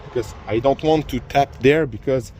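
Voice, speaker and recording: A man's voice: mid-range voice, normal speed, a little mellow, slight background noise. A man whose first language is French, explaining a car repair step by step in a casual how-to manner with many fillers and restarts. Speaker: DIY car repair youtuber. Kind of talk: casual how-to narration while working. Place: garage or driveway by a car.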